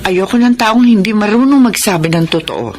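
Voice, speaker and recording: A woman's voice: medium pitch, crowd noise, lightly acted. Actress as firm, kindly Filipino lady employer. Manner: instructive, measured, big-sisterly authority. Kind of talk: Tagalog radio drama acting.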